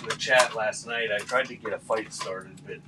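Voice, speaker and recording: A man's voice: lowish voice, steady tone, moderate pace. A man hosting a casual live sale, rambling and joking wryly with the chat between reading out items. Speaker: live auction seller, show host. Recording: clear background noise.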